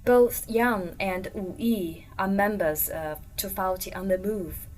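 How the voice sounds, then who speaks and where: A woman reading a scripted documentary narration in a plain, measured way. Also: medium pitch, a little mellow, recorded from a distance, low-quality recording.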